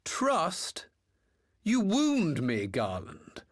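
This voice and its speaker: A man's voice: on the high side, huge pitch swings, drawn-out words, heavily acted. A man playing a smug, theatrical former imperial officer and master engineer in a video game, mocking with grandiose courtesy. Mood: needling, persuasive. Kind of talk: video game cutscene acting.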